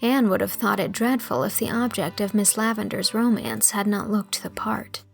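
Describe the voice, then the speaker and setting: A woman's voice: mid-range voice, moderate pace, gravelly. A woman narrates a storybook in a mid-range voice, with music under her reading. The delivery is scripted narration, carried in full literary sentences. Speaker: audiobook narrator.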